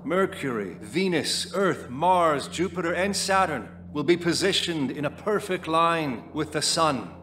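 Doomsday preacher for radio play, male actor, slightly high voice, grave oratory over light noise. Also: lively intonation, measured pace, hardly any pauses, a bit bright. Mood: prophetic, urging. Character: solemn doomsday cult leader preaching end times. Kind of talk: dramatic scripted monologue.

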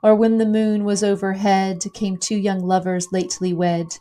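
A woman reading poetry aloud in a dramatic recitation, with measured, rhythmic phrasing that falls away at the ends of lines. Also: medium pitch, little pitch movement, dark tone. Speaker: poetry reader.

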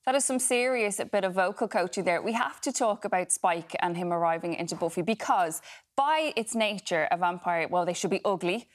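A woman's voice: on the low side, lively intonation, a little fast. A woman with an Irish accent co-hosting a breakfast TV show, asking long enthusiastic questions. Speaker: TV co-host. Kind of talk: breakfast TV interviewing. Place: TV studio.

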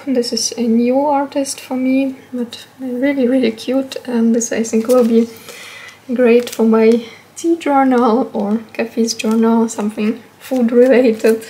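High-voiced woman, slow casual unboxing talk. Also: a little mellow, rough recording. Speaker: stationery haul vlogger.

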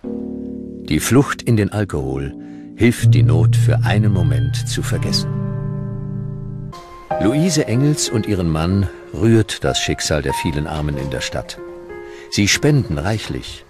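Very deep-voiced documentary narrator, male. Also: sing-song, slow, pauses often, over loud music.

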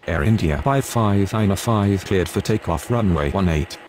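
A man plays an airline pilot talking to the tower in a video game. The voice is very deep and the scripted calls are clipped and procedural. There is faint noise behind it. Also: animated, a little slow, a little mellow. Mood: businesslike. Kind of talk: scripted radio phraseology.

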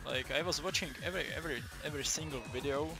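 A young man from the Czech Republic with a Czech accent, giving a casual, halting gaming tutorial, swearing often and restarting his sentences. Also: a little high, gravelly, over music. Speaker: gaming video maker.

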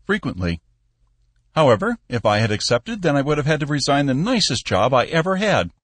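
A man's voice: a little high, sing-song, fairly quick, no echo, voice pushed up. A man voicing a confident young ex-soldier salesman in an audiobook, bland, polite and unconcerned. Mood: blandly proud. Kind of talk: audiobook character voice.

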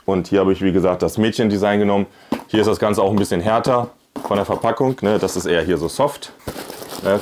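A man's voice: lowish voice, darkish tone, a little hiss or hum. A man, chattily presenting and demonstrating products in a casual video review on a clip-on mic. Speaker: YouTube host, product reviewer. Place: small indoor room with plain wall. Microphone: clip-on lavalier on shirt.

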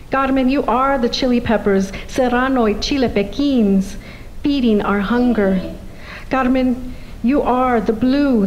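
A middle-aged woman recites a tribute poem in a slightly high voice. She phrases it deliberately and declaims each line. There is faint noise behind her. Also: a little mellow, low-quality recording. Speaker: poet giving a tribute. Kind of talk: measured poetic tribute reading. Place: stage in a meeting hall with seated audience. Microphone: stand microphone.

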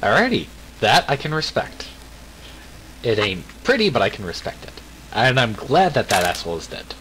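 Animated young male gamer, very swooping pitch. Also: normal speed, a little hiss or hum, poor audio. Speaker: let's player. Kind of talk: casual game commentary. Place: indoor room at a desk. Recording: loud game audio behind voice.

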